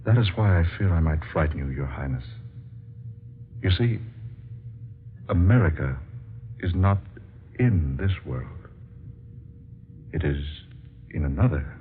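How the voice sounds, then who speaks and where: Tinny noisy radio recording, awestruck earnest scientist, deep male voice, polite, fervent, radio drama. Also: expressive, measured pace, pauses often. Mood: careful, revealing. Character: earnest, awestruck scientist visiting a hidden world.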